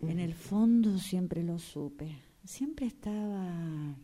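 A woman's voice: fairly low pitch, extremely slow, mellow. A woman acting in a radio drama as a desperate, self-pitying client with a Rioplatense Argentine accent, telling her woes dramatically. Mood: aggrieved, wistful. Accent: Rioplatense Argentine Spanish. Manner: dramatic, complaining storytelling.

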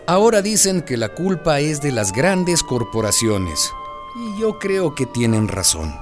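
A man's voice: fairly high pitch, sing-song, measured pace, music in the background. An actor playing a plain-spoken man recalling the pandemic in a radio drama, reflective, emphatic and indignant. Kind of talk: dramatized first-person narration.

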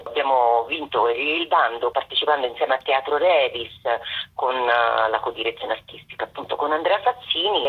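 Narrowband phone audio, middle-aged woman, husky voice, discursive interview talk. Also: extremely low pitch. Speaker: interview guest, theatre project co-artistic director. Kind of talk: spontaneous phone interview, explaining a project. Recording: muffled narrowband telephone line.

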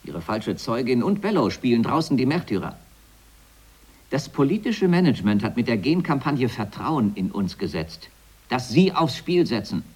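An actor playing a stern, imperious media boss in a radio drama, clipped and authoritative, with a raspy voice. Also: male voice, mid-range voice, very animated, normal speed, darkish tone. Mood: stern, reproachful. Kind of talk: 1980s German radio drama acting.

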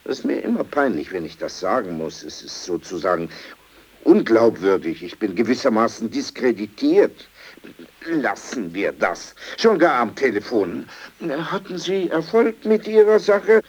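A man's voice: medium pitch, sing-song, a little slow, slightly rough. An actor playing an old, brusque, world-weary man with a sardonic manner in a radio drama. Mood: bitter, uneasy. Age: older.

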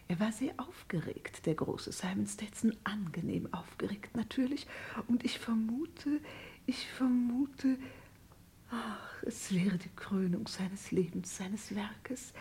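An actress playing a genteel, status-proud mother, doting and fluttery, in a German radio crime play, with affected dramatic pauses. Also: fairly high pitch, mellow, exaggerated voice. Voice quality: breathy, husky.

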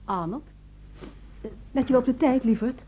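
An actress playing a worried, loving wife, tender and probing, in a radio play. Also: female voice, high-pitched, normal speed, a little hiss or hum, lightly acted. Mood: tender, concerned. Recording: dull, lo-fi old radio recording.

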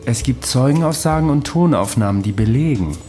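An actor in a German radio drama playing a cryptic, self-assured male patient who claims to be an immortal assassin, speaking calmly and with faint mockery. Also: medium pitch, brisk, soft background music, lightly acted. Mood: calm, matter-of-fact.

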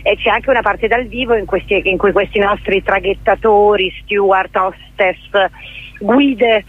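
A woman's voice: medium pitch, steady tone, a little slow, no echo. A woman guest speaks over a thin, band-limited telephone connection. She explains her work off the cuff in a radio interview, often backing up to correct herself. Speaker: theatre director, interview guest. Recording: narrow, tinny telephone line.

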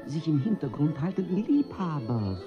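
An actor playing a smooth, flattering aristocratic count, suave and assured, in a classic radio comedy. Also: male voice, on the high side, normal speed. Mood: smooth flattery. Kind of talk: classic German radio play comedy acting. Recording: dull vintage radio recording with music.

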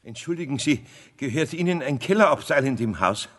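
A man's voice: medium pitch, lively intonation, brisk, lightly acted. An actor playing a kindly older craftsman with a Bavarian accent, hesitant and evasive, in a children's radio play. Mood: nervous, evasive. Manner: hesitant, stammering. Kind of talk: 1970s German children's radio play acting.

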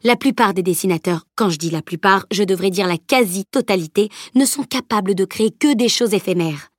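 A woman's voice: medium pitch, a little fast, dry recording, clean studio sound, lightly acted. A woman reading as a stern, grumbling old male teacher who lectures severely. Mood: lecturing.

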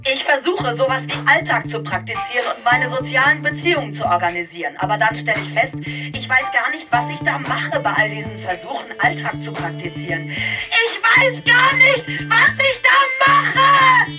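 A woman's voice: high voice, very animated, moderate pace, recorded from a distance. An actress playing a despairing, disoriented woman in a declamatory radio drama monologue. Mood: troubled, then screaming despair. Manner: declamatory, breaks into screamed outbursts. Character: despairing, disoriented woman ranting about her relationships. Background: music.

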